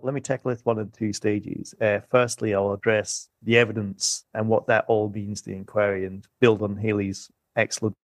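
A man, a music economist, talking through policy points in a measured, emphatic way on a podcast. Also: on the low side, no room sound. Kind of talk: podcast discussion.

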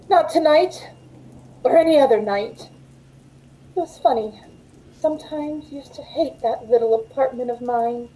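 A woman's voice: on the high side, dark tone, a little hiss or hum, rough recording. A middle-aged woman playing a frightened, desperate captive who tells her ordeal in old-time radio suspense style. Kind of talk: old-time radio suspense acting, first-person narration. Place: indoors at home, on a video call.

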